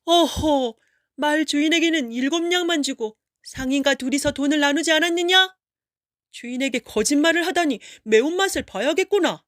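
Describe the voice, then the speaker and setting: A woman's voice: high voice, normal speed, a bit bright, no echo, clean studio sound, pitched above the performer's own voice. Woman acting a commanding, shrewd young wife, raised voice. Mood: stern scolding. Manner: formal, authoritative folktale speech.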